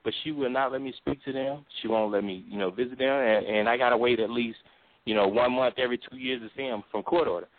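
A man with a baritone voice talks casually and emphatically on a call-in talk show. He laughs often and keeps saying "you know what I'm saying". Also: steady tone, fast. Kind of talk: casual opinionated chat. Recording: thin phone-line sound.

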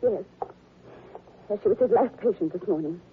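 A woman's voice: mid-range voice, normal speed, slight background noise, natural voice. A woman playing a distraught, accusing widow in a 1940s radio melodrama. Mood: accusing, tense. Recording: muffled narrow old radio recording.

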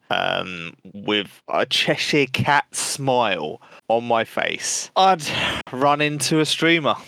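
A man's voice: mid-range voice, fairly bright tone, clear, resonant tone, no echo. A man co-hosting a gaming podcast, telling stories casually with frequent swearing and laughter. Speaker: podcast co-host.

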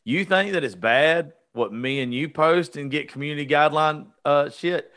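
A man hosts a casual chat show. His voice sits at a middle pitch. He talks bluntly and jokingly, with plenty of swearing thrown in. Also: normal speed, a bit bright. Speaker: show host.

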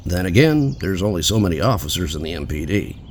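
A man's voice: low voice, big pitch swings, fairly quick, a little hiss or hum. An actor playing a smug, crude male police detective in an audio drama, casual and dismissive. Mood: puzzled, casual. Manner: casual, cocky, brushing people off.

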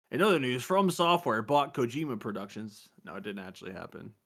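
A man's voice: medium pitch, expressive, normal speed, a bit bright. A man in his thirties co-hosting a casual gaming podcast, laid-back, laughing easily and using casual slang. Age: 30s. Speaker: podcast co-host.

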